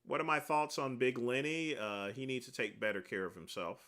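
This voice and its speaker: A man hosting a casual livestream, answering viewer questions one after another in a rambling way, with a deep voice. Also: moderate pace, a bit bright. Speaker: livestream host answering chat.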